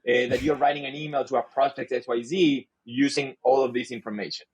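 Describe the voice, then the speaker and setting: Husky-voiced man, Spanish native speaker. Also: medium pitch, fairly even intonation, brisk, poor audio. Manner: earnest, frequent restarts and repeated words. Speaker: guest, sales leader. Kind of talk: casual podcast conversation.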